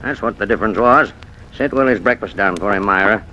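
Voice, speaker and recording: An actor playing a petty, bullying old invalid uncle in a 1940s radio drama, querulous, sarcastic and self-pitying. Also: male voice, lowish voice, brisk, pushed and bright, highs cut off. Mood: scornful. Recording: noisy vintage radio recording.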